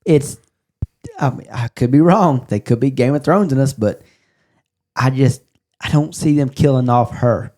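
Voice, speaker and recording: Male podcast host, soft mellow tone, relaxed casual chat. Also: medium pitch, unhurried, pauses often.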